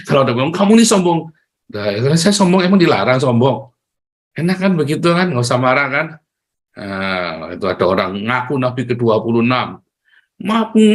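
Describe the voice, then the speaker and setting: A middle-aged man from Tegal with a Tegal accent, giving a lively motivational talk online, speaking straight to his listeners and acting out the voices he quotes. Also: on the high side. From Tegal, Central Java, Indonesia. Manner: animated, addresses listeners directly, acts out quoted voices, chuckles often.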